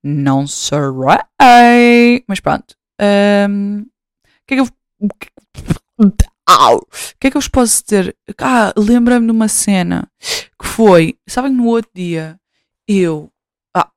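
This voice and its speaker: A woman's voice: mid-range voice, expressive, a little slow, clear, resonant tone, no echo. Woman in her twenties, European Portuguese accent, rambling casual podcast chat, dry close sound. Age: 20s. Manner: rambling, swears freely, self-mocking. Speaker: podcast host.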